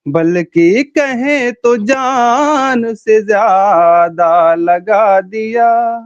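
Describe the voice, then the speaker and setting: Poet, male, slow sung recitation, formal and courteous, dry sound. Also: high voice, smooth, no room sound, muffled recording. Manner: formal, polite speech with drawn-out, sung verse lines. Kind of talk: reciting verse in a slow chant.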